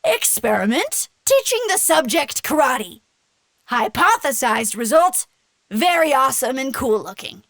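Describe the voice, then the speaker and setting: An actress playing an eager, affectionate young agent in an audio drama, with a bubbly, informal, excitable manner. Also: female voice, sits high, unhurried, dry recording, exaggerated voice. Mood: chirpy, proud. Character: eager, stubborn, affectionate young female agent training a creature.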